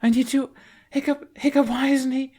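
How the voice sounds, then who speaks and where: Man voicing a desperate young woman, high-pitched. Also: little pitch movement, moderate pace, a little mellow. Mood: desperate, pleading. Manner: urgent, pleading. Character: desperate, pleading young woman dragon rider. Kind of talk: audiobook character voice.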